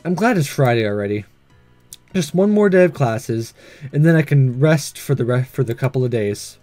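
A man voicing an earnest, self-doubting teenage schoolboy's inner thoughts in a visual novel, read softly and reflectively. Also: mid-range voice, animated, moderate pace, no echo, faint music behind, pitched below the performer's own voice, close to an everyday voice. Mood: weary.

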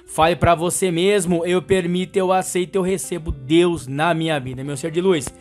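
High-voiced Brazilian male astrologer. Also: steady tone, normal speed, soft background music. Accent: Brazilian Portuguese. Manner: affectionate, calls listener "meu ser de luz". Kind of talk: horoscope reading with sales pitch.

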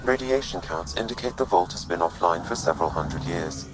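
An actor playing a calm, analytical artificial intelligence in a game, speaking in a formal, precise and detached way. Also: male voice, low-pitched, a little fast, rough recording. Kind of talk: game voice acting, informational reporting.

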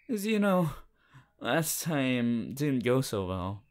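Romantic roleplay lead: bashful, devoted teen boy hero played by a man, mid-pitched, unhurried, stammering delivery. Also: sing-song. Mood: sheepish, flustered. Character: shy, devoted, flustered teenage boy hero. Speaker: voice actor. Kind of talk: romantic roleplay audio, intimate fan performance.

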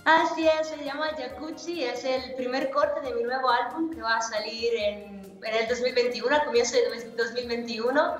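Brief: woman in her 30s, bright-ish voice, roomy call audio. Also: on the high side, fairly even intonation, moderate pace, hardly any pauses, soft background music. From Italy. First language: Italian. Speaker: guest singer. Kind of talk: video call interview. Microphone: laptop video call.

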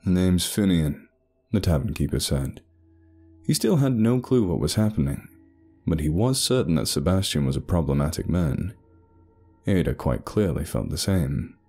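A man's voice: low voice, lively intonation, normal speed, mellow, slightly rough. A man narrating a scripted horror story, with faint music behind him.

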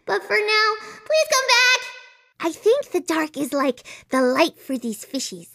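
A woman's voice: very high voice, very animated, a little slow, gravelly, sharp tone, no room sound, studio quality, full character voice. A woman voicing an imaginative, anxious little girl in a video game, with an earnest, dramatic, childlike delivery. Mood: anxious, pleading. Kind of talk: video game character voice acting.

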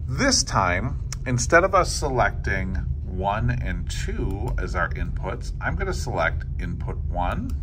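Brief: male tech teacher, low voice with lively intonation, relaxed step-by-step walkthrough, audible room noise. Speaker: technology teacher. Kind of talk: screen-recorded software tutorial. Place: small school podcast studio.

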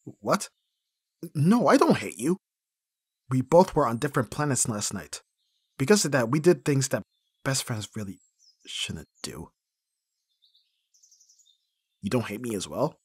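A man acting a flustered young best friend in love in an intimate ASMR roleplay, husky and breathy, with a hesitant, stammering delivery. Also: mid-range voice, very animated, moderate pace, pauses often, dry recording, studio quality. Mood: anxious, awkward. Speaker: audio roleplay voice actor.

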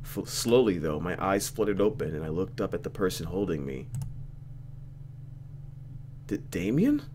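A young man with a lowish voice plays a visual novel, reading it dramatically and commenting casually. His delivery is playful and swings widely, with faint noise behind it. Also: sing-song, normal speed, a little mellow. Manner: playful, reactive.

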